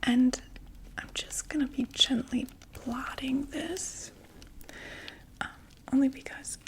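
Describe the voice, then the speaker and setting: A woman in an ASMR roleplay voicing a chatty undead female vampire makeup saleswoman, whispering softly and reassuringly. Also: high-pitched, fairly even intonation, slow, poor audio. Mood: soothing. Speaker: ASMR performer.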